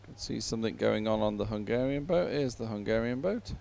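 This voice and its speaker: A man giving unhurried live sports commentary, filling time between races in halting phrases with frequent pauses. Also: lowish voice, lively intonation, creaky, darkish tone.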